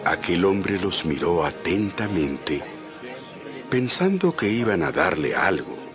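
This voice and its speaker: A man narrating a dramatized Bible story in an expressive storytelling manner. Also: sits low, takes it slowly, music in the background.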